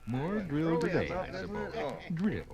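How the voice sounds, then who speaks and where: An actor playing a tired, grumbling army recruit in a 1950s radio drama. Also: male voice, fairly high pitch, huge pitch swings, a little slow, over a noisy crowd. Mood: groggy grumbling. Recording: 1950s radio recording, music behind.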